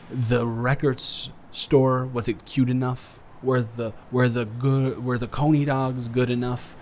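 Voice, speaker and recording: A young man playing an earnest, awkward college student smitten with a dormmate, rambling and halting in an intimate roleplay audio drama. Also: mid-range voice, darkish tone, a little hiss or hum, close to an everyday voice. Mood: affectionate, teasing. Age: young adult.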